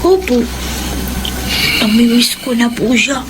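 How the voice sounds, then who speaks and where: A woman playing a polite, wistful young son in a Filipino radio melodrama, soft and childlike, with a Filipino accent. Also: on the high side, expressive, slow pace, heavy background noise. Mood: meek. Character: polite young boy, wistful, missing his father.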